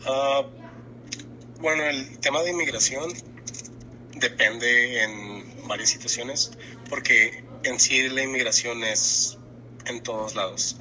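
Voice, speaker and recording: A young man talks slowly, with a very bright, forward tone. He pauses and rephrases as he works out his answers. A faint background hum sits under the conversation. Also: fairly low pitch, rough recording. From Mexico-US border. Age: 20s. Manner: thoughtful, pauses and restarts while searching for words. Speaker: interviewee. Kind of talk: casual conversational interview.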